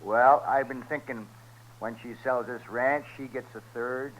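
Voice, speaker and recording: An American actor playing a sly, mocking, cynical outlaw in a 1950s radio western, with taunting, folksy speech. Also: male voice, medium pitch, fairly even intonation, a little slow, a bit bright, slight background noise, natural voice, rough recording. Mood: sly, teasing. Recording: vintage radio recording.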